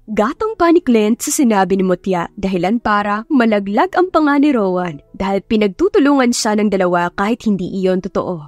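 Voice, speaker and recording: A woman narrating a romance audiobook in a steady storytelling manner. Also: mid-range voice, brisk, no echo, faint music behind. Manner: steady storytelling, voices all characters.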